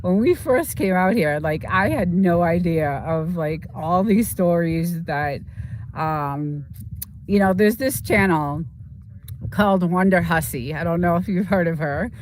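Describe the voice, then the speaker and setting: A woman's voice: on the low side, a little slow, slight background noise, poor audio. Chatty woman in casual, rambling talk. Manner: chatty, informal, repeats herself. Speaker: livestream host chatting with viewers.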